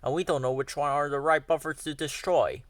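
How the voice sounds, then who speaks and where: A man's voice: on the high side, steady tone, fairly quick, no room sound, close to an everyday voice. A man doing a growling, sneering villain engine. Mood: menacing. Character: menacing male diesel engine villain.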